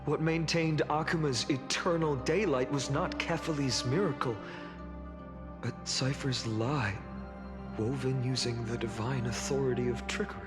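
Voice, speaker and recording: A man voicing a solemn, weary young hero in a fantasy video game, measured and earnest. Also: mid-range voice, steady tone, over music, lightly acted. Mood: grave.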